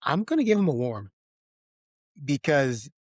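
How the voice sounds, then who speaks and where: A man's voice: mid-range voice, big pitch swings, unhurried, dry recording, studio quality. A man co-hosting a podcast, giving a casual film review and animatedly acting out bits as he goes. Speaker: podcast co-host.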